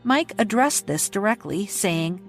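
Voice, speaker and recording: A woman hosting a podcast, reading scripted true-crime narration in a measured, even way. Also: medium pitch, faint music behind. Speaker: podcast host.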